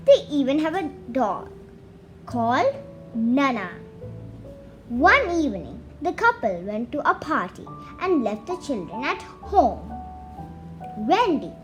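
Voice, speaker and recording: A very high, child-like voice narrates a children's story. The delivery is animated and swooping, yet slow, with pauses between short phrases. It is a read-aloud bedtime tale.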